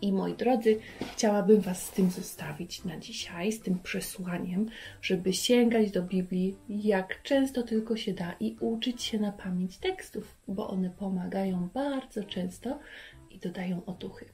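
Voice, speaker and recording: Middle aged lady hosting a kids bible lesson, encouraging, talks right to the children, medium pitch. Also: unhurried, dark tone, faint music behind. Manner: encouraging, addressing young viewers directly. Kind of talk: presenting to children. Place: furnished living room indoors.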